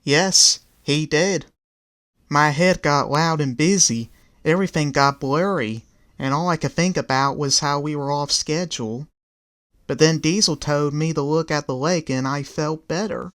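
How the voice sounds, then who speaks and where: A man's voice: fairly high pitch, measured pace, fairly bright tone. A man voicing an earnest, literal-minded, innocent brake van in a children's railway story. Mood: candid.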